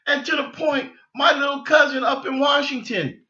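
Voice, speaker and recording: Male sports podcast host, high-pitched and exasperated, halting unscripted delivery, roomy echo. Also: pushed and bright. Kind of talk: unscripted sports fan rant. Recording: dull lo-fi sound, echoey room.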